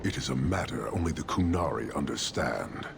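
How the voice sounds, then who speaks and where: An actor playing a stern, disdainful warrior leader of an inhuman fantasy race in a video game, speaking in a measured, solemn way. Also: male voice, a bass voice, lively intonation, background noise, full character voice. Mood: solemn conviction. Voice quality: gravelly. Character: stern imposing male Qunari warrior leader, disdainful and rigid. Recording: game audio with loud background ambience.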